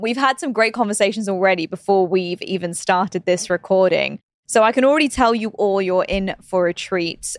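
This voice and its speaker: A woman's voice: medium pitch, no echo. Brief: woman podcast host, enthusiastic interviewer, dry close sound. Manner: enthusiastic, effusive, laughs often.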